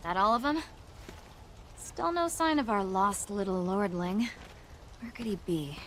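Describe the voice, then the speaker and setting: A woman's voice: medium pitch, animated, unhurried, a little hiss or hum, lightly acted. An actress playing a cocky, sardonic mercenary woman in a video game, arch and teasing. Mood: scornful. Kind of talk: video game cutscene acting. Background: music.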